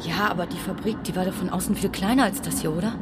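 A woman's voice: fast, close to an everyday voice. An actress playing an uneasy, questioning young woman in a radio crime drama. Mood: puzzled. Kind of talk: naturalistic German radio drama acting. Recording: long hall reverb, noisy rumbling ambience.

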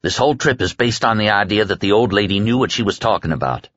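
A man's voice: fairly low pitch, fast, a bit bright, no room sound, muffled recording. A man voicing an injured, stoical man in an audiobook, plain-spoken and commanding as he orders friends onward. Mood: insistent.

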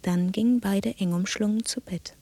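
An actress in a radio drama playing a subdued, solemn woman who tells a dark fairy tale in a measured, detached, breathy near-whisper with a creaky edge. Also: mid-range voice, dry recording, natural voice. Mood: hushed, solemn. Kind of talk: literary storytelling in German radio drama.

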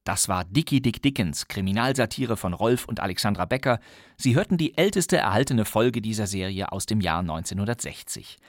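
A man's voice: on the low side, lively intonation, a little fast, no room sound, studio quality. A man reading scripted radio drama credits as an announcer.

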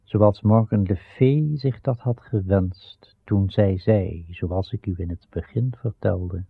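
A man as a solemn, measured storyteller narrating a legend in a radio drama. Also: lowish voice, vocal fry, slightly rough, no room sound, heavily acted. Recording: dull old radio recording. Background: plucked guitar-like music.

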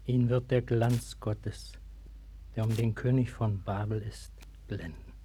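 Solemn, unyielding prophet. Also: male voice, fairly low pitch, fairly even intonation, warm tone, a little hiss or hum. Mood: grave, sorrowful. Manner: solemn, measured, declamatory. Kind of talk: German radio play acting. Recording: early 1960s vinyl radio-play record.

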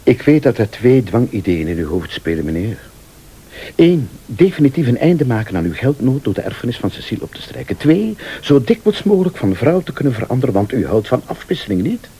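Shrewd accuser in a radio thriller, voice swings up and down, mellow, formal and insinuating. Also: male voice, medium pitch, very animated, husky. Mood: accusing. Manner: deliberate, theatrical, formal, insinuating. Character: male late-night accuser, shrewd, insistent, laying out a murder plot. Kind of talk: Dutch-language radio thriller acting.

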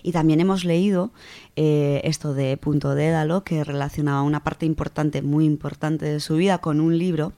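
A woman's voice: sits low, fairly even intonation, normal speed, dark tone. A woman with a Spanish accent from Spain, chatting casually as a contributor to a radio book segment. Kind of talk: casual chat.

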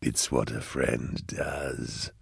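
A hovering demon, speaks in an extremely deep, whispered rumble. He drags each phrase out very slowly, sly and mock-friendly, insinuating menace in a storybook horror tale. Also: fairly even intonation, creaky, breathy edge, no echo, clean studio sound, exaggerated voice. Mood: smug. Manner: slow, drawn-out, insinuating whispery delivery. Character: hovering male demon host, huge-voiced, mockingly friendly and sly.

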